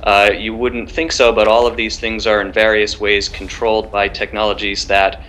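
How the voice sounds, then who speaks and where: A man's voice: on the low side, flat delivery, moderate pace, a bit bright, rough recording. A man in his forties with an American accent, explaining things as an expert guest on a video call. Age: 40s. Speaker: law professor, remote guest. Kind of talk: explanatory interview answer. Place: home study with bookshelves.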